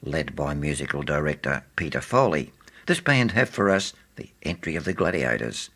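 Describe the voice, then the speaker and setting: A man presenting a music programme on radio, reading scripted introductions in a measured, formal way. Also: a bass voice, expressive, a bit bright.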